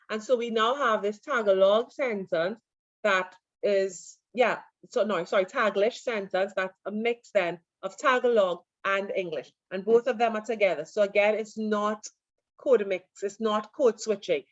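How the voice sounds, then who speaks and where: A woman lecturer giving an online class, relaxed and full of fillers as she answers questions. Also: medium pitch, measured pace, no echo. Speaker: university lecturer. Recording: dull lo-fi online audio.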